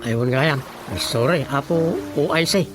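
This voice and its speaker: Casting: man as village councilman, high male voice, wry and teasing, folksy radio comedy serial. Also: normal speed, music in the background, close to an everyday voice. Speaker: radio drama actor. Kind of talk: radio drama comedy acting.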